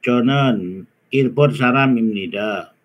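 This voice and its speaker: Slow, hesitant male language learner. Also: medium pitch, dull audio, a little hiss or hum. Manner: hesitant, halting, with fillers. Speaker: student. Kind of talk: repeating practice sentences.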